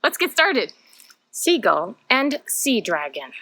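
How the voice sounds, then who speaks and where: A woman's voice: on the high side, very animated, takes it slowly, bright, forceful tone, a little hiss or hum. A woman hosting a storytime for young children, putting simple questions to her young viewers. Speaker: children's librarian storytime host.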